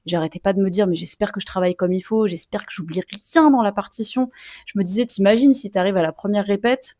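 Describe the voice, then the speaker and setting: A woman's voice: medium pitch, fast talker, darkish tone, dry recording. Chatty young woman, dry close sound. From Sicilian heritage. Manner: chatty, frequent fillers and asides. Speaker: vlogger and mezzo-soprano opera student. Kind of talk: casual storytelling to camera.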